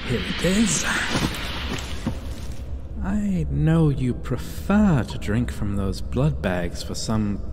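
A man playing a possessive, seductive vampire in an ASMR roleplay, sighing and speaking with condescending, mocking tenderness and quiet menace. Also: medium pitch. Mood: coaxing. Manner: slow, sighing, purring endearments, mocking tenderness. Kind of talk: ASMR roleplay, theatrical intimate acting. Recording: long reverb, clear ambient background noise.

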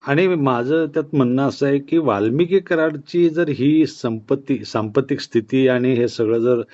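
A man's voice: medium pitch, moderate pace, warm tone, dull audio. A man in a casual phone conversation about politics, questioning and interjecting in disbelief, recorded off the call. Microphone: telephone.

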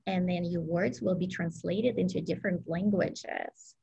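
A woman's voice: on the low side, fairly even intonation, a little slow, gravelly, mellow, slightly rough, highs cut off. A woman whose first language is Russian, presenting an educational webinar over a video call in an upbeat, explanatory way with frequent ums.

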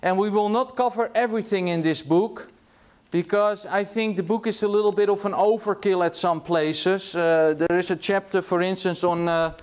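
High-voiced Dutch lecturer, chatty. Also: male voice, steady tone, normal speed, clean tone. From Netherlands. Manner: casual, chatty, tags remarks with "yeah?". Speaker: university lecturer. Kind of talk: classroom lecture. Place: large lecture hall.

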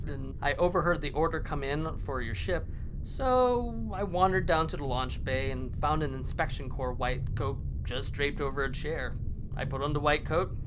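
A man's voice: on the high side, background noise, natural voice. A man acting a naive young stowaway of about twenty in a sci-fi audio drama, earnest and boyish. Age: young adult.

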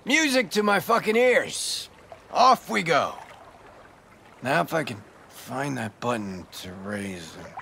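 A man playing a cocky, restless, wistful aging rock star in a video game, speaking in a casual, profane, halting way. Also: medium pitch, very animated, gravelly, bright, forceful tone, a little hiss or hum, heavily acted. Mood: upbeat, swaggering. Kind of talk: video game dialogue, loose conversational acting. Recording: game music and guitar behind voice.

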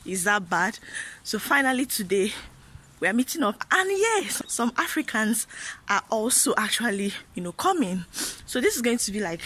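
A woman's voice: medium pitch, pushed and bright, slightly rough. A young woman from Nigeria chatting to her phone camera as a vlogger on a residential street, upbeat and full of fillers. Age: young adult. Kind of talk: casual vlog talk to camera. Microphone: phone at arm's length. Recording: noisy outdoor phone recording.

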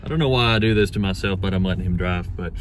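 A man's voice: low voice, racing, over music. A young man chatting casually to a phone camera from inside a truck, laid-back and rambling with lots of fillers. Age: young adult. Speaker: vlogger. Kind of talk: casual chat. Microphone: phone at arm's length.

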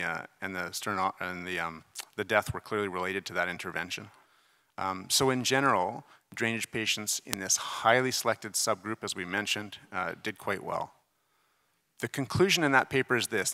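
A middle-aged man delivers a conference lecture through a podium mic in a reverberant hall. His voice is fairly low and forceful, with creak in it. He explains in a conversational, emphatic way, dropping in informal asides. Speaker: surgeon giving conference debate presentation. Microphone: podium microphone.

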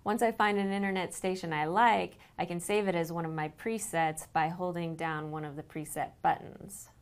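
Female company presenter, lower register, scripted demonstration read. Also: fairly even intonation, moderate pace, smooth. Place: indoor living-room set, seated on a sofa.

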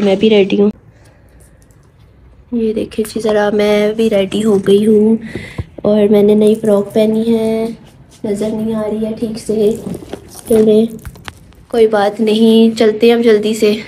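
A young woman talks casually into a phone held at arm's length, mixing in English words as she vlogs. Her delivery is even and flat, and her voice is soft and mellow. Faint background noise sits under her voice. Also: mid-range voice, measured pace, long pauses, low-quality recording. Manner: chatty, mixes in English words; hushed, half-whispered indoors. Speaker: vlogger. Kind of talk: casual vlog chat. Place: home and auto-rickshaw.

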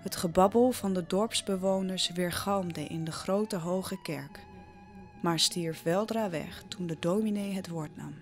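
A woman narrates an audio drama from a script. She takes her time with a slightly slow delivery, and faint music plays behind her voice. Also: lowish voice.

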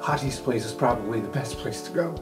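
A man's voice: mid-range voice, expressive, a little fast, music in the background. A man playing a tabletop game in a casual, improvised style.

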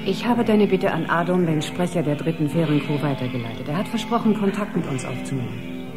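A woman playing a calm, caring ship's doctor in a sci-fi radio play, speaking in a measured way. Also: sits low, loud music behind the voice. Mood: matter-of-fact. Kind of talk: German radio play acting.

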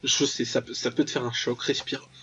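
Raspy guy doing jokey ironic let's play commentary, bit dull lo-fi mic, soft music behind. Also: medium pitch, level tone, brisk. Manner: ironic, reacts aloud and paraphrases on-screen text. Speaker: let's player, channel host. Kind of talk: casual joking gameplay commentary of a visual novel.

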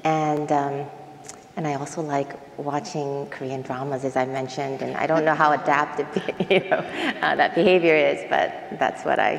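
A woman on a panel talking informally, with hesitant, halting phrasing. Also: sits low, fairly even intonation, creaky. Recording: echoey hall, noisy room tone.

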